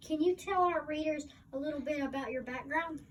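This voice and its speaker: High-voiced woman interviewer, distant and slightly noisy. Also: brisk. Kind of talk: scripted magazine interview reading. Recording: distant microphone, faint background noise.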